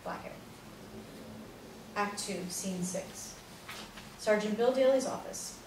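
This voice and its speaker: A woman's voice: medium pitch, takes it slowly, a little mellow. A woman reading scripted stage directions as the narrator of a play. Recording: very echoey room, distant mic, noisy.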